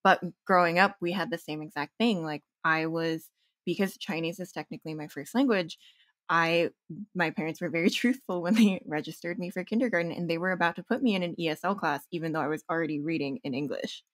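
A woman who runs her own business talks as a podcast guest, reflective and quick to laugh between thoughts. Her voice is fairly low and the recording is dry and close. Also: a bit bright, dry recording. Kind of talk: casual interview chat.

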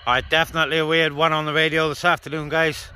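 A man's voice: on the high side, little pitch movement, quick pace, very noisy. A man chatting casually in a laid-back, informal way as he hosts an outdoor vlog and talks on CB radio. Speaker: host, CB radio operator. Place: outdoors on open moorland by car boot.

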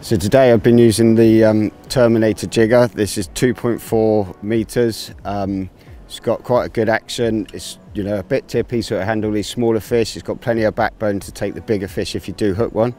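Man, 30s, low mellow voice, relaxed outdoor tutorial presenter on a riverbank, lapel mic. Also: moderate pace, faint music behind. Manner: relaxed, explains gear step by step with many fillers. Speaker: angling presenter.

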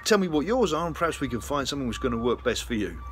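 A middle-aged man with a British accent giving a chatty, rambling walk-through pitch to camera as a salesman. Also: big pitch swings, racing, a bit bright. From United Kingdom. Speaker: RV salesman presenting a vlog. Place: RV sales lot, outdoors and inside trailers. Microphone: phone at arm's length. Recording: handheld recording with clear ambient noise.

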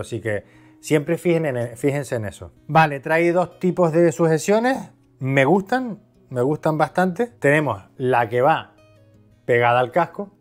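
A man from Spain giving a casual, conversational product review, explaining things by asking and answering his own questions. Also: on the high side. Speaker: video host, product reviewer.

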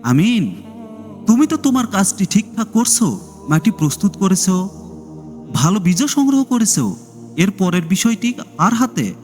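A wise old male neighbour, played by a male narrator counsels gently in a moral tale. His voice moves widely in pitch and carries a long reverb over music. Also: big pitch swings, normal speed, pauses often. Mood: reassuring.

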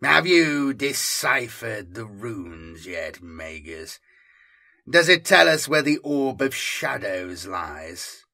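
A man's voice: medium pitch, very animated, slow pace, vocal fry, sharp tone, heavily acted. A man voicing a hulking demonic warlord in an audiobook, grave, menacing and commanding in manner. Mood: impatient, imperious.

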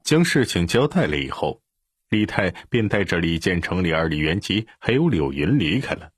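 A man's voice: low-pitched, big pitch swings, moderate pace, breathy edge, dry recording, studio quality. A man reading an audiobook as narrator in a scripted, dramatic storytelling style.

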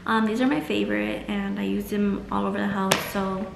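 Young woman vlogger with creaky voice. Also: mid-range voice, flat delivery, fairly quick, reverberant, noisy background. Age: young adult. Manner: chatty, informal, heavy use of "like". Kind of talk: casual haul vlog chat to viewers. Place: small room at home, indoors.

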